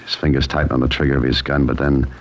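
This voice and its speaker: Cool dry radio detective, super deep voice w lots of gravel, old-time mystery show. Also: male voice, level tone, racing, slight background noise. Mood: tense, determined. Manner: dry, wisecracking, clipped. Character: wry, cool-headed male amateur sleuth narrating his case. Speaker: narrator and lead character. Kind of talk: old-time radio mystery acting, hardboiled first-person narration.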